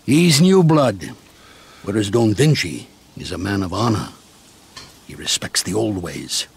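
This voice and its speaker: Old american mafia consigliere, gravel in the voice, swings up and down, shrewd but fatherly, bit of background noise, video game. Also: on the low side, expressive, unhurried. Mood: patient, explaining. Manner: streetwise, coarse, patiently explaining. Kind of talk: game cutscene acting.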